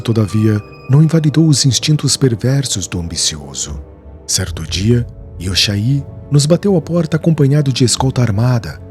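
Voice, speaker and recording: Man doing an earnest weaver in an audiobook, solemn and measured, low and lively in pitch, soft music underneath. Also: close to an everyday voice. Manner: solemn, measured storytelling of past suffering. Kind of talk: audiobook character dialogue. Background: faint background music.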